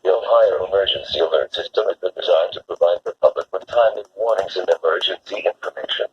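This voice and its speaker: Deep synthetic male announcer on muffled radio. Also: quick pace. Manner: flat, synthetic text-to-speech delivery. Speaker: emergency alert announcer. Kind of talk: scripted public emergency test announcement. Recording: muffled, noisy weather radio broadcast.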